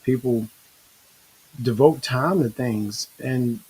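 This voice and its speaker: A middle-aged man hosting a casual online talk show, relaxed and rambling with many restarts. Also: mid-range voice, slow, slightly rough, low-quality recording. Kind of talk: casual live-stream talk.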